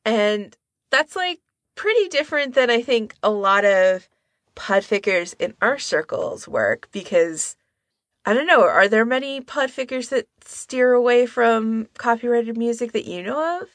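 A woman's voice: on the high side, animated, a little slow, no echo. A woman co-hosting a podcast in a casual discussion style. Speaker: podcast co-host.

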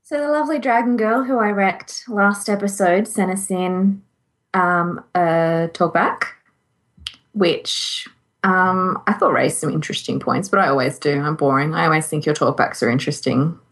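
A woman's voice: lowish voice, moderate pace, fairly bright tone. Chatty woman co-hosting a podcast. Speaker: podcast co-host. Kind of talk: casual podcast chat.

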